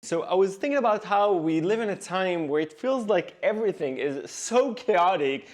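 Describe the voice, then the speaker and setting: A man, an animation director, giving earnest scripted devlog narration and slowing down for emphasis. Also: high voice, lively intonation.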